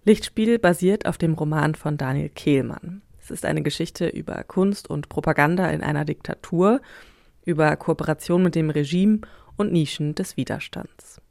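A narrator reading a scripted introduction to a radio drama in a measured, matter-of-fact way. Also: medium pitch, creaky, a little mellow, no echo, studio quality.

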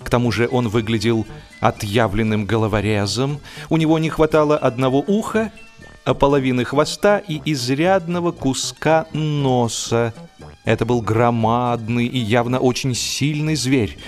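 A man narrating a humorous literary story for an audiobook in an expressive, measured, theatrical way. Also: mid-range voice, faint music behind.

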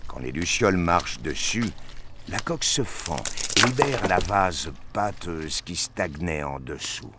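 Deep, slow male narrator, noisy background. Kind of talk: scripted story narration.

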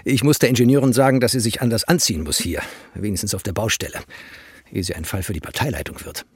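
Radio play functionary: rapid, husky, disapproving mutter. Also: male voice, on the low side, no echo, studio quality. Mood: disapproving, hurried.

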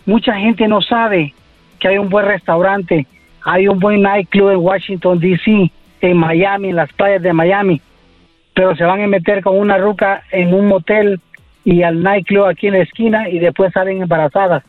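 A rambling, opinionated male caller from Costa Rica, heard over a tinny phone line. His voice sits high. Faint music plays behind him. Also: steady tone, normal speed, dry recording. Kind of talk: phone call-in.

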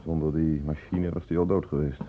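An actor playing a matter-of-fact, world-weary hospital doctor, reporting tersely in a radio play. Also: male voice, very deep voice, little pitch movement, a little fast, dark tone. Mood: clinical. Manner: colloquial, terse clinical reporting. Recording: tinny, noisy old radio play recording.

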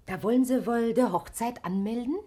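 A woman's voice: on the high side, normal speed, warm tone, close to an everyday voice. A woman acting a kindly, frank middle-aged wife in a German radio drama, speaking in a motherly, colloquial way. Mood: kindly, welcoming.

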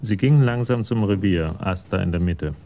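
A man's voice: low voice, moderate pace, warm tone, soft background music. A man narrating a crime drama from a script.

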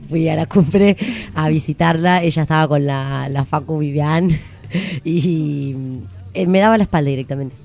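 A woman's voice: deep voice, a little slow, vocal fry, darkish tone. A woman with an Argentine Rioplatense accent, hosting a casual radio panel chat. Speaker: radio show host.

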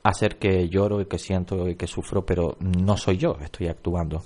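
Brief: deep-voiced man, film director, earnest interview guest. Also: steady tone, fairly quick, darkish tone. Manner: earnest, emphatic storytelling. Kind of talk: radio interview answers. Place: radio studio.

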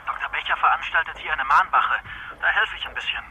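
A man's voice: on the high side, fast. An actor playing a young policeman reporting in over the telephone in a radio drama. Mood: sheepish. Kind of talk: German radio drama acting. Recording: sharp phone line, faint noise.

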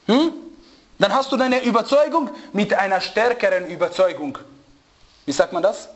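Male religious teacher, high voice, emphatic, repeats points and quizzes his class. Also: normal speed, dull audio. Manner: emphatic, repeats key phrases, asks rhetorical questions; searches for German words and asks students. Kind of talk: religious lesson to students.

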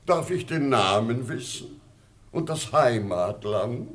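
A man's voice: medium pitch, expressive, slow pace, a bit bright. A man in a German radio play playing an aging, pitying Chinese emperor, pleading and sighing in ornate theatrical phrases. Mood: kindly, sorrowful.

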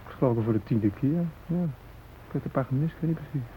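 An actor playing a chatty, naive young technical-school student, rambling colloquially in a radio drama, with a husky voice. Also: male voice, mid-range voice, quick pace, slight background noise, natural voice. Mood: chatty, boyish. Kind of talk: radio drama dialogue, casual chat. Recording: tinny phone-like old radio recording.

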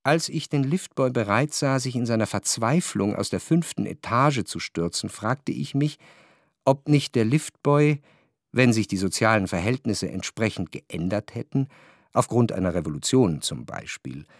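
An actor playing an introspective, wryly analytical narrator in a historical radio play, speaking in a measured, articulate, reflective way. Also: male voice, on the low side, animated, dry recording, studio quality.

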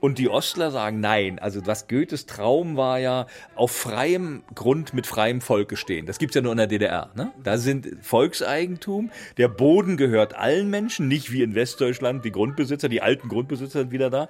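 An older man from East Germany explaining in animated, casual conversation, slipping into emphatic, theatrical quotation. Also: medium pitch, moderate pace, hardly any pauses. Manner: theatrical, quotes verses with emphasis. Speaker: interviewee.

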